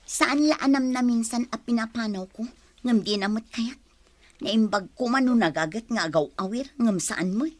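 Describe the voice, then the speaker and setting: A woman's voice: a little high, moderate pace, dry recording, lightly acted. A woman acting a long-suffering, emotional young mother and wife in a radio drama. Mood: regretful, sad.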